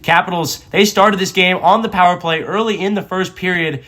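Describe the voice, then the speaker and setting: High-pitched man recapping hockey. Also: steady tone, moderate pace, sharp tone. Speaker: sports beat reporter. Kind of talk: scripted game recap narration.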